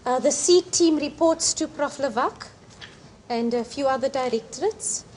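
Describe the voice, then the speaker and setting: Brief: high woman, casual halting welcome, faint hiss. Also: a little mellow. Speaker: event organiser introducing the speaker. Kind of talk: welcome and introduction.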